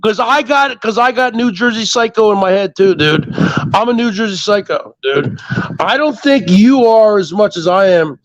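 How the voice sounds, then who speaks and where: A man trading crude, profane jabs in casual banter, loud and mocking, often mimicking others. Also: sits high, moderate pace, dry recording, highs cut off. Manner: brash, profane, mocking, does mimicking voices.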